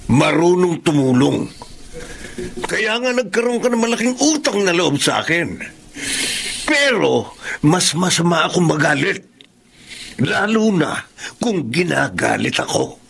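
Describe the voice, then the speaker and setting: An actor playing a smug, threatening drug boss in a Filipino radio drama, speaking with a Filipino accent. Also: male voice, on the high side, very animated, gravelly, a bit bright, full character voice. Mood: menacing. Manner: smug, condescending, slowly threatening.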